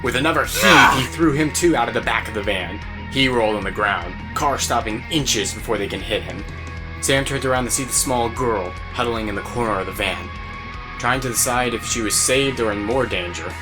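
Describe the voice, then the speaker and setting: A man's voice: mid-range voice, moderate pace, low-quality recording. A man narrating an audio drama as a scripted story. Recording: music bed behind voice.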